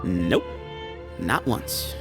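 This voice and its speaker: Cocky young man, lively swooping intonation, fry at the edges, teasing, evasive, game dialogue. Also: low voice, drawn-out words, music in the background, full character voice. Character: sly, cocky, easygoing young desert man. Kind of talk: video game character dialogue.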